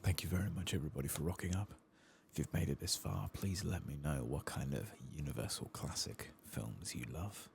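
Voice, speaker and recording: A soft-spoken, hushed man giving unhurried, understated ASMR commentary over a video game. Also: rumbling low, gravelly. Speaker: host of a gaming playthrough channel. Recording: faint background noise.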